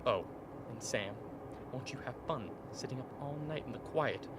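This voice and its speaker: A man's voice: medium pitch, normal speed, natural voice. A man acting a haunted, vehement polar scientist in a radio play, speaking intensely and repeating words for emphasis. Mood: haunted, brooding. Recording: faint steady background noise.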